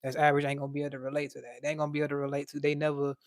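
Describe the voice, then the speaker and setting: A man's voice: a little high, monotone, very fast, no echo, studio quality. A young man with a light voice, chatting casually on a livestream and reading out chat in a laid-back, slangy way.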